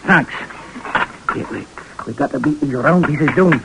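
An actor playing a cheerful, folksy, penniless tinker who stammers and repeats himself in an old radio drama. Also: male voice, on the high side, measured pace, vocal fry. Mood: busy, fussing. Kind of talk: 1940s radio drama acting. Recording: noisy vintage radio broadcast recording.

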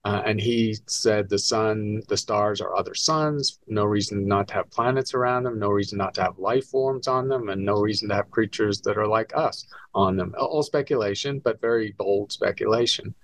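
An older man, born in Scotland, answering interview questions as an astronomy professor in a relaxed, wry, explanatory way. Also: on the low side, moderate pace, hardly any pauses, no room sound. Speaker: astronomy professor, guest author.

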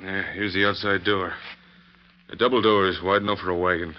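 An actor playing a gruff, suspicious ranch foreman with blustering cowboy talk in an old radio western. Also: deep voice, steady tone, fairly quick, lightly acted. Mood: grudging, wary. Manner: blustering, folksy cowboy speech. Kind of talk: 1940s western radio acting. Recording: dull vintage radio recording with noise.

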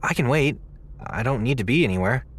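A man's voice: medium pitch, expressive, a little fast, husky. Awkward nice young guy, audio drama, mid-range voice, polite, stammering, very varied intonation. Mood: considerate. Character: naive, polite, awkward young man, gently earnest. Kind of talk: naturalistic audio drama dialogue.

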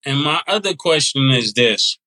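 A man's voice: medium pitch, expressive, normal speed, sharp tone. A man co-hosting a podcast, casual and probing, asking pointed questions. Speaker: podcast co-host.

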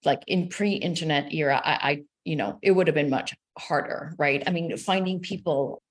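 Lower-voiced woman, Israeli-born scholar. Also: normal speed. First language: Hebrew. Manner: thoughtful, frequent ums, lively pitch swings. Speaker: sociology professor and author. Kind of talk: interview conversation.

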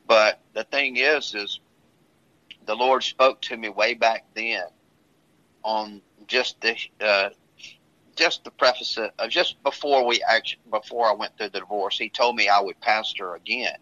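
A man who is a pastor, talking earnestly and at a measured pace about his own story, often restarting his sentences. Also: fairly low pitch, bright, forceful tone. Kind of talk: casual talk sharing a testimony. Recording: dull lo-fi sound.

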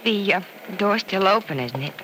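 A woman's voice: mid-range voice, expressive, moderate pace, lightly acted. An actress playing a tender, wistful young woman in an old-time radio soap, hesitant and earnest, on a noisy vintage recording. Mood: friendly.

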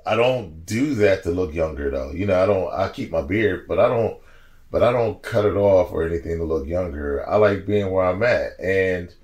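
A man in his forties, a podcast guest, telling stories in a casual, slangy, animated way and laughing as he goes. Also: low voice, moderate pace. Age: 40s. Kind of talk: casual storytelling chat.

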